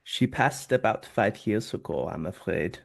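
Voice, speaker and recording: An actor playing a harmless, adorable baker in his eighties, gentle and a little wistful, at a script table read. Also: medium pitch, lively intonation, unhurried, gravelly. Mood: tender, wistful. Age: 80s.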